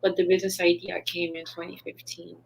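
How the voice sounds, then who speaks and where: A woman's voice: lowish voice, level tone, a little fast, creaky, breathy edge, poor audio. A woman from Haiti, a business founder, talking earnestly in a casual interview and laughing often.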